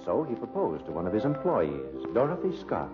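A man's voice: lowish voice, huge pitch swings, normal speed. A man playing a theatrical, wry announcer narrating an old-time radio play. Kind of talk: 1940s radio scripted narration. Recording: muffled recording, music behind.

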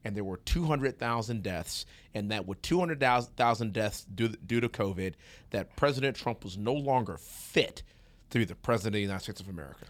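A man's voice: on the low side, animated, a little fast, no echo. A man co-hosting a casual chat, teasing, laughing a lot and talking over the other host. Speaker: podcast co-host.

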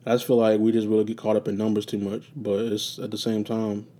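A man's voice: on the low side, completely flat delivery, quick pace, warm tone. Sleepy, rambling man with a darker voice. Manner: loose, profane, meandering with many fillers. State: getting raspy and sleepy. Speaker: podcast host. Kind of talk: rambling solo casual talk.

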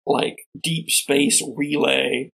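A man with heavy vocal fry and a husky edge acts as game master. He explains the scene in long, wordy, improvised narration. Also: medium pitch, level tone, drawn-out words, a bit bright. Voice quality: gravelly, husky.

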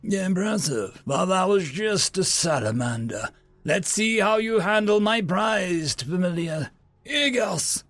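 A man voicing a smug, haughty old magistrate with a gruff, pompous delivery in a fantasy audiobook. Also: high voice, expressive, takes it slowly, a bit bright, no room sound, soft background music, voice pushed up, full character voice. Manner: pompous, boastful.

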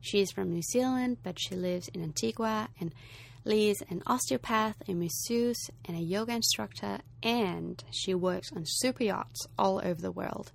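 Danish woman with a creaky voice. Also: mid-range voice, normal speed. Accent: light Danish. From Denmark. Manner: chatty, warm filler-laden storytelling. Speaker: podcast host. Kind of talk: podcast intro and casual interview talk.

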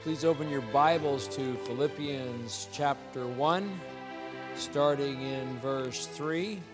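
A congested male pastor from California preaching a sermon, explaining scripture in a measured teaching manner. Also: mid-range voice, over music. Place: church sanctuary. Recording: long reverb in a church hall.

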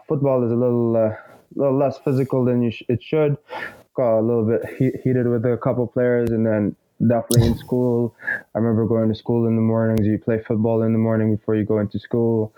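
A man in his twenties from Egypt talks about his path into rugby in an easygoing podcast chat. His voice is mellow and warm in tone, and he laughs now and then, filling pauses with "uh" and "like." He sits at a middle pitch for a man. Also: poor audio. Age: 20s. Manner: relaxed, laughs often, many fillers. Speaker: rugby player guest. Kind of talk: casual podcast interview.

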